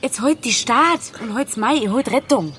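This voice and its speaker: A woman's voice: on the high side, expressive, moderate pace, a bit bright, slight background noise. An actress playing a plucky, affectionate, teasing young female hiker with a Bavarian accent, in a naturalistic dialect radio drama. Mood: alarmed, urgent.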